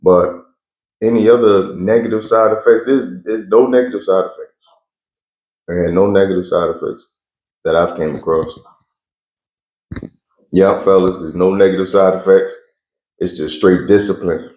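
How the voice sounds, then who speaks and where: A man's voice: deep voice, steady tone, normal speed, long pauses, darkish tone, echoey room, low-quality recording. A young man answering interview questions in a laid-back, blunt way with slang and frequent laughs. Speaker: interview guest.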